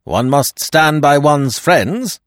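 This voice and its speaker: Man acting a blunt secretary, raised pitch. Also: animated, slow, no echo, clean studio sound. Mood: dry, firm. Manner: blunt, colloquial. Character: loyal, blunt English male secretary, dryly forthright.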